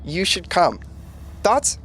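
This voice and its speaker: A man's voice: sits high, big pitch swings, slow, a bit bright, slight background noise, exaggerated voice. An actor playing an earnest, lovestruck, emotional young man in a podcast sitcom, with dramatic delivery. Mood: hopeful.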